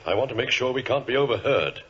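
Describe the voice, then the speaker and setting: An actor playing a grave, commanding senior government minister in a 1940s radio drama, solemn and confidential, with a husky voice. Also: male voice, medium pitch, animated, fast, fairly bright tone. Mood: solemn, secretive. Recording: muffled vintage radio recording.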